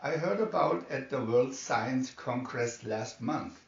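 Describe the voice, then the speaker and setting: A man voicing a calm, fatherly middle-aged man in an adventure storybook, speaking in a measured, reasonable way. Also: medium pitch, vocal fry. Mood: calm, interested. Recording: roomy, distant, slightly dull home recording.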